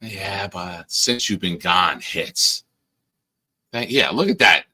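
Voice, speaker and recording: A man hosting a casual live-stream show, hoarse, teasing and bossing his guest around with sudden loud bursts. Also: low voice, animated, slow pace. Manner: teasing, bossy banter with sudden loud outbursts. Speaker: podcast host.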